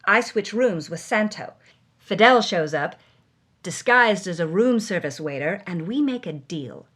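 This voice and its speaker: A woman's voice: medium pitch, animated, a little slow, a bit bright. A woman voicing a witty, adventurous actress who reads her own journal in a theatrical, wry, breathless way. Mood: brisk, conspiratorial. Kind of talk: dramatic journal narration.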